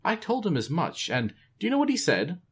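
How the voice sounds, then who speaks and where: A man's voice: high-pitched, wildly swooping pitch, a little fast, slightly rough, pitched above the performer's own voice, full character voice. A man voicing an earnest young male king who searches for his words.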